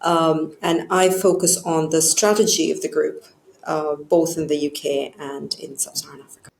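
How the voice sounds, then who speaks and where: Low-voiced woman, echoey room. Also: steady tone, moderate pace, gravelly, a little mellow, a little hiss or hum. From southern India. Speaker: charity chief executive, interviewee. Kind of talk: conversational interview account of work and career. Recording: roomy, echoing sound.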